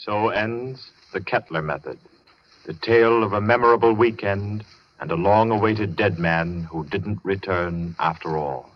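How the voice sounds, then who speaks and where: A male announcer reading a script in formal, measured 1940s radio style with dramatic pauses. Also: fairly low pitch, slight background noise. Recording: dull vintage radio, loud music behind.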